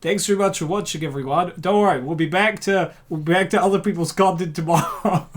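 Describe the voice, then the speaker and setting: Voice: mid-range voice, fast, a little hiss or hum. A host narrating an amateur comic dub, mixing storytelling with jokey, rambling casual commentary.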